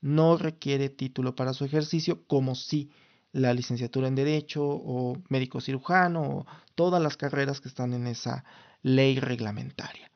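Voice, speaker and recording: A man's voice: medium pitch, steady tone, normal speed. Formal-sounding Mexican man, lo-fi recording. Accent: Mexican Spanish. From Mexico City. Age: 30s. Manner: formal, lawyerly phrasing with frequent restarts and fillers. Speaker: podcast host. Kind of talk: casual solo podcast talk.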